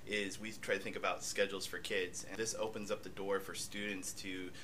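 A secondary school director explaining calmly and agreeably in a panel discussion. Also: low voice, brisk, slight background noise.

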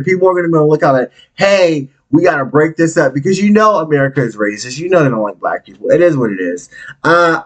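A man in his late thirties hosting a solo podcast, talking bluntly and candidly with frequent profanity and animated swings in his delivery. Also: low-quality recording. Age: 30s. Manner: candid, animated, big swings in pitch and volume. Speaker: podcast host.

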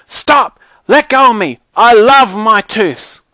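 A man's voice: on the high side, sing-song, a little fast, pushed and bright, dry recording, pitched above the performer's own voice. A man voicing a defiant, fanciful little girl with childish grammar and bold exclamations, as in a children's audiobook. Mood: defiant screaming.

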